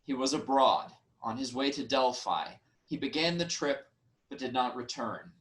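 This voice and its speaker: A man's voice: mid-range voice, moderate pace, a bit bright, lightly acted. A man playing an earnest Theban royal messenger in a staged reading of Greek tragedy, emphatic and declarative. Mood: grave.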